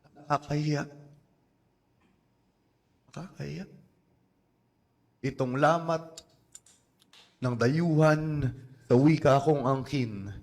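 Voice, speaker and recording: A man from the Philippines with a Filipino accent, performing spoken word poetry live in a small cafe, with slow, deliberate phrasing and pauses. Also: a little high, darkish tone.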